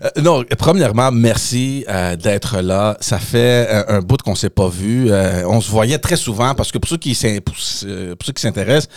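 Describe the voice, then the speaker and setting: A man's voice: fairly low pitch, lively intonation, moderate pace, no echo, studio quality. A man with a Quebec French accent co-hosting in casual chat, emphatic and fond of repeating his phrases. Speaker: podcast co-host.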